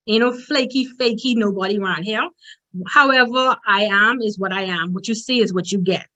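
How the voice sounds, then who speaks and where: A woman's voice: medium pitch, normal speed, bright, forceful tone, low-quality recording. A middle-aged woman from the Bahamas with a strong Bahamian accent, giving an emphatic, direct motivational presentation as a conference speaker.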